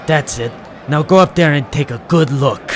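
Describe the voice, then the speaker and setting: A man's voice: a little high, normal speed, lightly acted. A man playing a threatening gangster who gives curt orders, in late 1940s radio crime drama style. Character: menacing male gangster thug giving curt orders. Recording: slightly noisy old radio recording.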